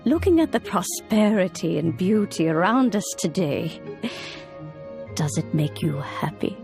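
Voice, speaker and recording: A woman voicing a serene, kindly old woman in a video game, speaking in a measured, soothing, old-fashioned way. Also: fairly low pitch, animated, music in the background. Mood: tender, consoling.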